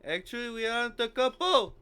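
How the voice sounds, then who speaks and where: A man voicing a feisty, excitable young woman with a curt manner in a visual novel, over background noise. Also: very high-pitched, brisk, clear, resonant tone, voice pushed up, full character voice. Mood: startled.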